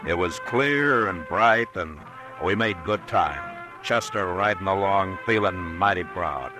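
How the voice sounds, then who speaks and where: An actor playing a weary, steady US marshal who narrates in a measured, calm way, in 1950s radio drama. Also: male voice, low voice, over music, rough recording.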